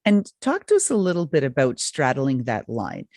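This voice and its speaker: A woman's voice: extremely low pitch, big pitch swings, moderate pace, a little mellow, no echo, clean studio sound. A woman hosting a podcast who asks long, enthusiastic questions. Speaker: podcast host. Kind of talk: interview questions.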